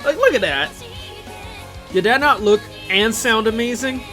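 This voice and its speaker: A man giving casual, enthusiastic video commentary in an excitable, conversational way, full of exclamations and asides. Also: very high voice, unhurried. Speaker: video host commenting on visual novel openings. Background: music playing behind the voice.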